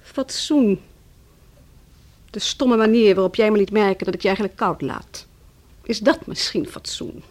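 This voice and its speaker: An actress in a Dutch radio play playing a bitter, proud young wife who talks in a scornful, taunting way. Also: female voice, medium pitch, unhurried, long pauses, lightly acted. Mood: scornful mockery.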